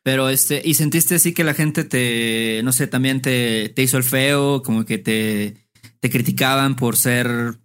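A man's voice: medium pitch, unhurried, fairly bright tone, smooth. A man, co-hosting a podcast, who asks questions with hesitant fillers. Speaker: podcast co-host. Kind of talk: casual interview questions.

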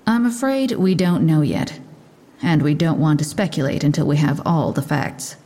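An actress playing a brisk, sardonic police lieutenant giving a guarded press briefing in an audio drama. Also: female voice, sits low, warm tone. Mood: measured, official.

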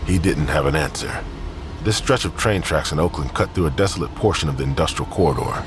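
A man narrating scripted crime fiction in a grim, deliberate storytelling manner. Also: extremely low pitch, music in the background.

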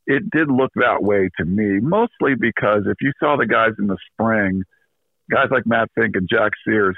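A man giving a casual interview as a sports reporter, talking fast and repeating words as he thinks. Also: fairly low pitch, no room sound. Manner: quick, casual, repeats words and laughs lightly. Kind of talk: phone interview. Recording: tinny phone line.

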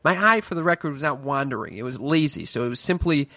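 A man's voice: mid-range voice, quick pace, sharp tone, no room sound. A man in his twenties co-hosting a casual podcast, wry and defensive, stammering often. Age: 20s. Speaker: podcast co-host, stand-up comic. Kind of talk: casual chat.

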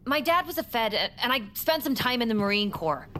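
A woman's voice: on the high side, sing-song, fairly quick, a bit bright, a little hiss or hum. An actress playing a tough, defiant ex-Marine woman with a dry, assertive way of talking in an audio drama. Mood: wry, commanding.

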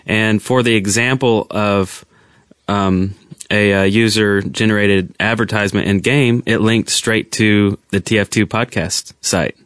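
Guy with a lowish voice just chatting on a gaming podcast, dry recording. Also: fairly even intonation, unhurried, fairly bright tone, smooth, dry recording. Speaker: podcast co-host. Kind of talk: casual gaming podcast chat.

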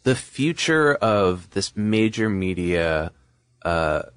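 Deep-voiced man with very lively intonation. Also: slow, clear, resonant tone, no room sound. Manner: informal, colloquial explaining. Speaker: podcast co-host. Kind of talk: casual conversational history podcast.

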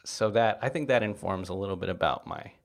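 A man in his forties, a comedian guest chatting casually on a podcast, telling animated stories with wry jokes and frequent laughs. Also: lowish voice, a little fast, gravelly.

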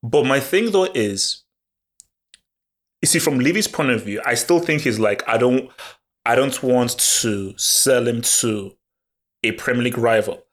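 Man, podcast co-host, casual football chat, animated, stumbles over words, mid pitch. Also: a little slow. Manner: animated, repeats words when excited.

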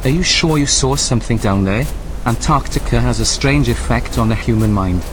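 Man playing a deadpan station AI, noisy backdrop. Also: medium pitch, lightly acted. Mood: measured, skeptical. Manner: measured, formal, deadpan. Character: calm, precise, dry-humored artificial intelligence running a remote research station. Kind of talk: audio drama acting.

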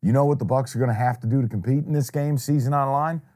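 A man's voice: mid-range voice, fast, dark tone. An American man co-hosting a sports betting show with casual, opinionated banter, blunt and dramatically emphatic.

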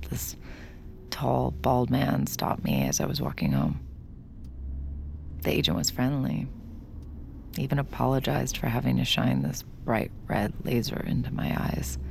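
A woman's voice: extremely low pitch, vocal fry, a little mellow, a little hiss or hum, exaggerated voice. A woman playing a guarded, sardonic young mind reader in an audio drama, speaking in a curt, weary, dry way. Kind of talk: audio drama dialogue and confessional narration.

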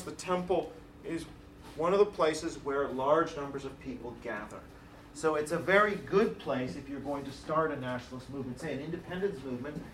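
A man delivers a university lecture in a loose, conversational way, pausing on fillers and restarting phrases. His voice sits a little high and is caught from across an echoing room. A faint hiss runs underneath. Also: fairly bright tone. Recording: roomy hall recording from far off, faint hiss.

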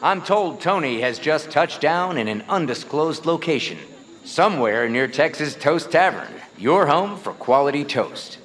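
A man's voice: on the high side, animated, unhurried, fairly bright tone. An actor playing a hearty, grandiose small-town announcer with theatrical showman patter in an audio drama. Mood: showy. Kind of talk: stage announcing in audio fiction.

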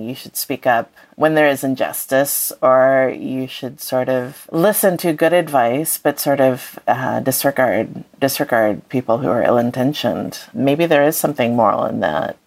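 A woman's voice: rumbling low, a little mellow. A woman speaking as a guest author in a thoughtful interview, hesitantly searching for her words.

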